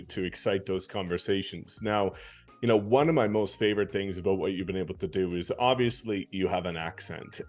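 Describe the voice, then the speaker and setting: A man hosting an interview, asking fluent, encouraging questions. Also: fairly low pitch. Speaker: podcast host.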